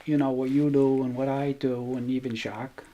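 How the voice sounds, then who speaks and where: Low-voiced shy stagehand. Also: female voice, flat delivery, mellow, lo-fi sound. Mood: shy, content. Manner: halting, modest, understated. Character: shy, modest, kindly female chief stagehand after work. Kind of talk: naturalistic radio drama dialogue.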